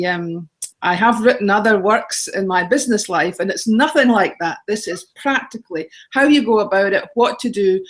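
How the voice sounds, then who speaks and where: A middle-aged Scottish woman chatting as a guest in an interview, rambling through practical explanations with ums and little laughs. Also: mid-range voice. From Scotland. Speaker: guest, home exchange author. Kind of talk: casual interview talk.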